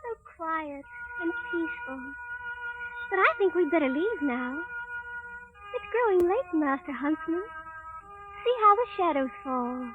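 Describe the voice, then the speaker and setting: An actress playing an innocent, gentle young fairy-tale princess, sweet and wide-eyed, in 1930s radio drama style. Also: female voice, very high-pitched, very animated, unhurried, pauses often, heavily acted. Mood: gentle wonder. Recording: tinny 1930s radio recording, loud music.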